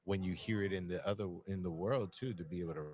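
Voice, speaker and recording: A man with a deep voice, musing at length in a reflective conversation. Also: fast talker, a little mellow. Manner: musing, searching, repeats phrases.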